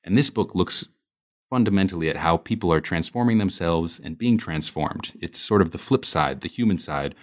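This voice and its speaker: Male host, very low voice, earnest academic podcast intro, some filler words. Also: lively intonation, normal speed. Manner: earnest, with frequent ums and uhs. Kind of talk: scripted introduction then interview questions.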